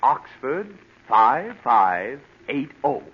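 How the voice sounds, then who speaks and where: A man's voice: medium pitch, sing-song, drawn-out words, slightly rough, heavily acted. A man playing a pompous, insistent, easily riled doctor in 1940s radio comedy, officious, formal and emphatic. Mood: officious, impatient. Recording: muffled vintage 1940 radio recording, faint noise.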